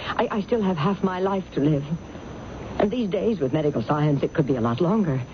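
An actress playing a proper, troubled woman manager in her thirties, halting and hesitant, in a radio mystery drama. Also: sits low, expressive. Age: 30s. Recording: muffled, noisy vintage radio broadcast recording.

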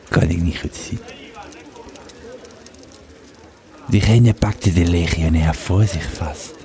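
A man playing a weary, troubled Roman legionary who tells his tale haltingly in an audio drama. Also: extremely low pitch. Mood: grave, haunted. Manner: halting, repeating words, hushed at times. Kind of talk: audio drama monologue and narration.

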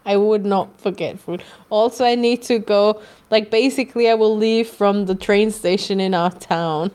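An Austrian-accented woman co-hosts a relaxed podcast conversation. She wanders through her thoughts with many restarts and drops the occasional curse word. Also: mid-range voice, normal speed. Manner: rambling, frequent restarts and swearing. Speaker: podcast co-host. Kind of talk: casual chat.

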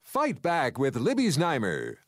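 A man's voice: mid-range voice, huge pitch swings, a little slow, dry recording, clean studio sound. A man announcing a scripted radio programme introduction. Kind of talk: scripted radio promo narration. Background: music bed.

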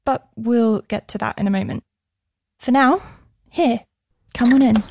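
An actress playing a wry, chatty shop worker who confides in the listener in an audio fantasy drama. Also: female voice, on the high side, unhurried, vocal fry, darkish tone, no echo. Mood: playful, enthusiastic. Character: wry, chatty young woman working in a magic shop. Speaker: narrating lead character of an audio fiction series. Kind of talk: intimate conversational first-person dramatic narration.

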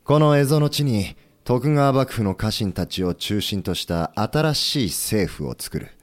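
A humble, idealistic rebel statesman in a historical audio drama. His voice is fairly low, and his delivery is measured, formal and sincere. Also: lively intonation. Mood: earnest resolve. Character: earnest, humble, idealistic leader of a rebel government.

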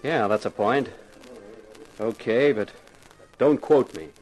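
A man acting as a cagey writer in an old radio mystery. His voice is medium in pitch, and his delivery is dry and sardonic, with a mocking edge. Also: slow, a little mellow, close to an everyday voice, poor audio. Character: sardonic, cagey male TV scriptwriter. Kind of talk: 1950s radio drama acting.

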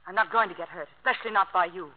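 Cool, sharp-tongued woman played by an actress on vintage radio. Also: lowish voice, rapid-fire, lightly acted. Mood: accusing. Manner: biting, sarcastic, self-possessed. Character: cool, strong-willed young woman, sharp-tongued and weary of her lover. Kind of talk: 1950s radio drama acting. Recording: muffled vintage radio broadcast.

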